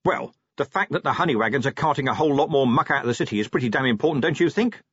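A man playing a weary, blunt police commander with a slightly husky voice. He asks terse questions and gets to the point. The recording is dry and a bit dull. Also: medium pitch, expressive, very fast, a bit bright, dry recording, pitched above the performer's own voice. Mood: gruff. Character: gruff, weary middle-aged male police commander.

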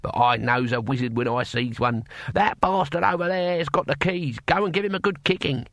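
A man's voice: fairly high pitch, moderate pace, a bit bright, no echo, studio quality. Man putting on a cackling old barbarian warrior. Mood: gleefully bossy. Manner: cackling, rough colloquial speech. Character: very old barbarian warrior, cheerfully menacing and unbothered. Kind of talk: audiobook character voice in comic fantasy.

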